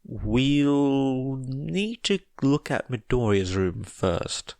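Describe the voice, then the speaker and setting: A man's voice: medium pitch, expressive, very slow, a little mellow, no room sound, studio quality, pitched above the performer's own voice. Man playing curt, officious government investigator. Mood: impatient. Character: stiff, impatient government investigator, adult man.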